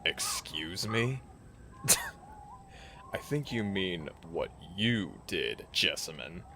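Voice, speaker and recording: A man's voice: low-pitched, sing-song, extremely slow, bright, forceful tone, full character voice. A man playing a dramatic, wry werewolf packmate in a theatrical, incredulous manner for a fantasy audio drama. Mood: indignant. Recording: clear background noise and ambience.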